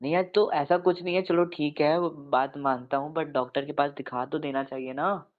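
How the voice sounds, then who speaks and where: A man's voice: a little high, steady tone, normal speed, lightly acted. A man playing a caring, insistent young husband who banters affectionately in a casual domestic audio roleplay, with an informal, coaxing way of talking. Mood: concerned. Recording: tinny narrow phone-like band.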